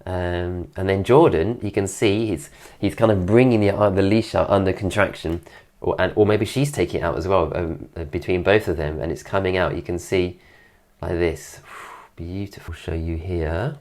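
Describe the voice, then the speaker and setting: A man recovering from an illness, his voice deeper than usual and his nose congested, giving an admiring, relaxed commentary over a dance video. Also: moderate pace. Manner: admiring, keeps praising details. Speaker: dance teacher reviewing a dance video.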